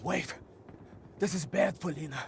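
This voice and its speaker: An actor playing a loyal, cautious Soviet soldier in a war game, earnest and urgent toward a comrade. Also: male voice, sits high, a little slow, creaky, slight background noise. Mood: hushed, wary. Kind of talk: dramatic game cutscene acting.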